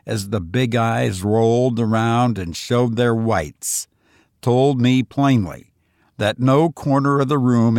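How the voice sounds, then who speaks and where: Male audiobook narrator, medium pitch, measured and deliberate, scripted ghost story reading, dry close recording. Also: a little mellow, dry recording.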